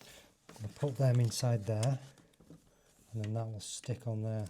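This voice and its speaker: A man's voice: on the low side, fairly even intonation, measured pace, mellow, slight background noise. A man giving a casual hands-on demonstration, thinking aloud informally as he works through each step. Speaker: motovlogger presenting a fitting tutorial.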